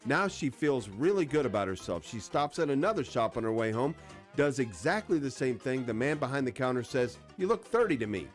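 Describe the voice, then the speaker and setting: A man's voice: mid-range voice, animated, brisk. A man co-hosting a radio show, telling jokes in a casual, chatty style. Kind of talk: casual banter and joke-telling. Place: radio studio. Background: music bed.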